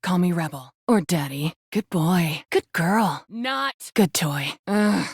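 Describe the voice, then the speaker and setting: A woman's voice: fairly low pitch, lively intonation, slow, slightly rough, no room sound, studio quality, exaggerated voice. A woman voicing a cocky, foul-mouthed rubber duck in a video game, brash, mocking and sarcastic. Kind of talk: video game character voice acting.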